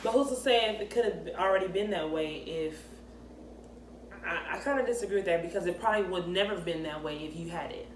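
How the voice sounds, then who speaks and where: A woman in her thirties co-hosting a candid casual chat, talking in an emphatic, conversational way. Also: lowish voice. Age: 30s. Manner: emphatic, conversational, quick back-and-forth. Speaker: co-host. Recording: echoey room with faint ambient noise.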